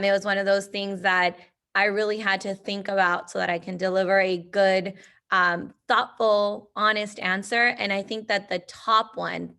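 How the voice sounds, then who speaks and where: Math teacher on a panel, woman from Argentina, Spanish first language, bright voice, reflective and halting. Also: medium pitch. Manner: reflective, many filler ums. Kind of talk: panel discussion.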